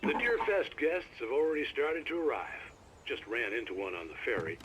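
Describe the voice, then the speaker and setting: An actor playing a genial, folksy small-town American radio host, chatting easily through a radio filter. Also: male voice, medium pitch, very animated, normal speed, dull audio. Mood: folksy, amused. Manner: folksy, easygoing. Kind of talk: local radio DJ chat. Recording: car radio, loud music or noise.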